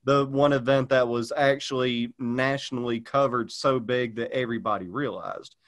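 A man's voice: mid-range voice, fairly even intonation, moderate pace. A man chatting casually on a podcast, rambling with lots of fillers and restarts. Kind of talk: casual chat.

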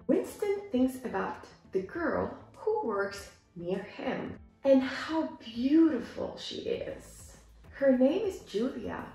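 Young female English teacher, higher-pitched, explanatory story reading for learners, very echoey room with music underneath. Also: a little mellow. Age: young adult. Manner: deliberate, clearly explanatory, gesturing as she teaches. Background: background music.

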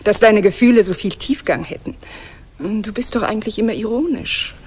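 An actress playing a clever, curious goddess in a radio play, with an arch, playful, mocking manner. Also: female voice, mid-range voice, brisk, a little mellow, husky, close to an everyday voice. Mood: teasing. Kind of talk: theatrical German radio drama acting.

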